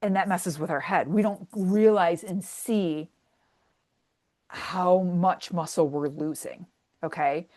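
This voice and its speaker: A woman's voice: on the low side, slow pace, a little mellow. A woman, a fitness coach talking casually and bluntly on a webinar, full of fillers and casual swearing.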